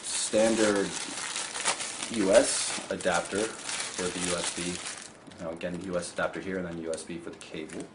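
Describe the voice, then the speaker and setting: A man's voice: sits low, level tone, moderate pace. A man giving a casual, matter-of-fact product demonstration, explaining things as a tech reviewer. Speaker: tech reviewer presenting a gadget unboxing. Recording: clear background noise.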